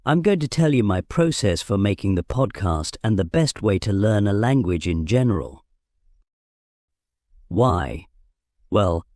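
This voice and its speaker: Low-voiced male teacher reading a scripted lesson, calm and explanatory, dry close recording. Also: no room sound. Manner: calm, explains and defines words. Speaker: language teacher. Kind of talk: scripted podcast narration.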